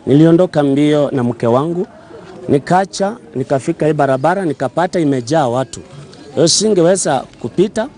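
A man in his forties, a pastor, speaks in a slightly high, warm, mellow voice. He retells events animatedly, acting out the lines of others. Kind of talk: street interview. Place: outdoors in a crowd.

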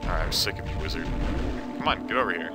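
A young man with a slightly low voice talks through his gameplay in a loose, joking way, sounding a little congested. Loud game music plays behind him the whole time. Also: expressive, quick pace. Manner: joking, self-talking. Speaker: let's play commentator. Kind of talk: casual gameplay commentary.

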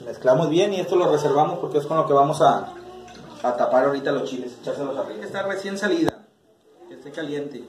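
A man in his thirties with a Mexican accent, hosting a casual cooking tutorial in a small home kitchen. He talks straight to the camera, relaxed, while he chops and stirs. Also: a little high, quick pace. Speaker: cooking channel host. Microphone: camera mic, medium distance. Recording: echoey kitchen with faint noise.

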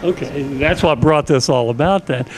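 A man's voice: on the high side, normal speed. An older American man hosting a casual on-location interview into a handheld microphone. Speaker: interviewer, show host. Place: indoors in a cafe, behind the counter. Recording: clear background noise on location.

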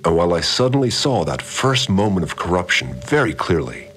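A calm, controlling priest of a strange order narrates his log in a measured, solemn audio drama. His voice sits very deep yet moves expressively through each line. Soft music lies underneath. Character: calm, cryptic, controlling male cult priest.

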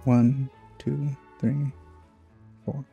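A man's voice: mid-range voice, level tone, very slow, gravelly, dark tone, husky, highs cut off. A man doing casual, wry let's play commentary on a video game. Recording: game music behind the voice. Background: game music.

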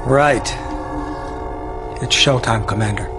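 An actor playing a stern middle-aged army general, giving clipped, authoritative orders in a game cutscene briefing. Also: male voice, mid-range voice, takes it slowly. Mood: grim, commanding. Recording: music behind the voice.

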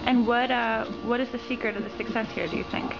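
A woman's voice: on the high side, fairly even intonation, fast, over loud music. A woman interviewer asking short, casual questions. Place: inside a busy barber shop. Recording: dull sound, very noisy shop.

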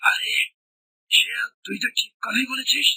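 A performer voicing a hungry, bullying tiger in a children's cartoon, scolding and menacing in a breathy, rasping voice. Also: medium pitch, moderate pace, bright, forceful tone, dry recording, exaggerated voice, low-quality recording. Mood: grumbling.

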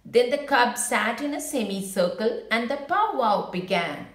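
Casting: female storybook reader for children, very animated intonation, unhurried pace, roomy echoing sound. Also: fairly high pitch. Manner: expressive, unhurried picture-book delivery. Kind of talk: read-aloud storytelling for children with brief asides.

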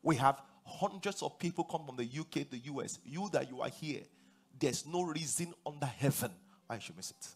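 A man in his forties with a Nigerian accent, preaching a sermon on a large church stage, animated and rising to loud emphatic declarations. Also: medium pitch, moderate pace, husky. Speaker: pastor.

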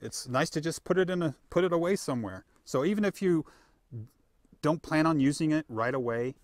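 A man's voice: on the high side, lively intonation, brisk, no room sound. A middle-aged man as a guest in a live chat, talking in a folksy, plain-spoken way from an open tent at an outdoor range. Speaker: guest product expert. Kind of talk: casual live product chat.

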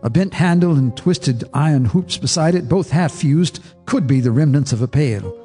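An actor narrating a radio horror drama as a haunted neighbor, in a grave, measured storytelling manner. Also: male voice, fairly high pitch, lively intonation, dark tone, husky, faint music behind. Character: haunted male neighbor telling a horror tale.

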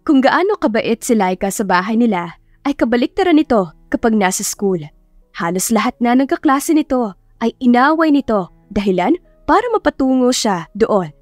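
A Filipino woman narrates a scripted drama in a fairly high voice. The sound is close and dry, with faint music underneath. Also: animated, normal speed, no room sound. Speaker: audiobook narrator. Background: soft background music.

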